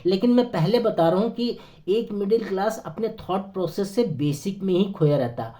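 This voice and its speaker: A hoarse man with a sore throat, talking earnestly to camera as a network marketing consultant, persuading with many hesitant fillers. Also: sits high, expressive, normal speed, dark tone. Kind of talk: persuasive motivational talk to camera.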